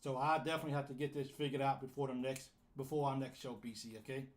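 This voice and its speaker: A man's voice: medium pitch, steady tone, fast. A man hosting a live fan call-in show, casual and flustered while fixing his audio setup. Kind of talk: casual live-stream chat.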